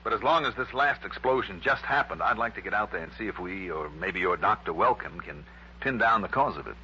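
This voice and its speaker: An actor playing a shrewd, skeptical male investigator in a 1960s radio drama, brisk, probing and wry. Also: lowish voice, animated, bright, forceful tone, slight background noise. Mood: questioning. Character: shrewd, skeptical male insurance investigator narrating his case. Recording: dull, humming early-1960s radio recording.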